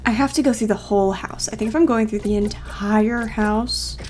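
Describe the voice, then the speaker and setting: A woman's voice: on the high side, normal speed, a little mellow, slight background noise. A woman game streamer narrating a playthrough, reading game text aloud and commenting on it. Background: game music.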